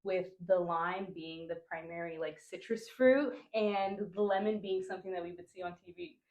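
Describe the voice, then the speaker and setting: A young woman with a fairly low voice talks easily as a podcast guest. She is from Honduras and grew up in New Jersey, and her speech runs loose and chatty, full of "like" and "you know". The sound has the ring of an echoey room. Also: steady tone, moderate pace, darkish tone. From Honduras, raised in New Jersey. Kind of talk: casual conversational interview.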